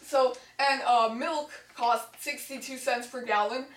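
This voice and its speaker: A woman's voice: high voice, fairly even intonation, a little slow, fairly bright tone, recorded from a distance. A young woman anchoring an amateur mock newscast with a mock-earnest delivery, reading from a script. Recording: echoey room, off the mic.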